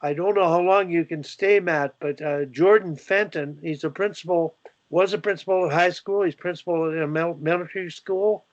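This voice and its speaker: An older Canadian man hosts a call and rambles on with plenty of "uh"s and false starts. His pitch sits a little high for a man. The sound is dull and dry, like laptop audio from a video call. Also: moderate pace, no room sound. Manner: rambling, many fillers and restarts. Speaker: host. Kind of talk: video call panel discussion.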